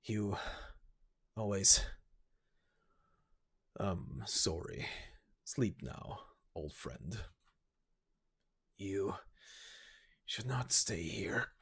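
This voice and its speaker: Guy doing a worn-out scout in a game story, faint n husky, halting, sad and resigned. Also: low-pitched, expressive, a bit bright, full character voice. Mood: weak, sorrowful.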